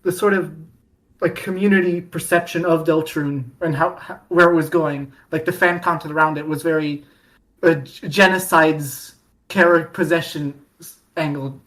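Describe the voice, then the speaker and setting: A woman talks casually as a guest on a fan podcast, her voice sitting a little low. She rambles, doubling back and restarting her sentences with plenty of fillers. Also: fairly even intonation, moderate pace, a bit bright, poor audio. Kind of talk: casual chat.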